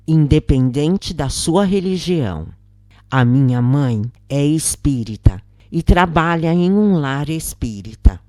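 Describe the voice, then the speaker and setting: A woman's voice: low voice, mellow, no room sound. A woman voicing a concerned, helpful male boss in a dramatised story reading.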